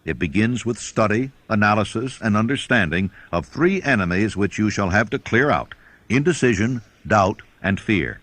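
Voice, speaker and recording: A man reading a self-help audiobook in a measured, emphatic, formal way. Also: fairly low pitch, animated, fairly bright tone, no echo. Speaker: audiobook reader. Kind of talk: scripted audiobook narration of a self-help book.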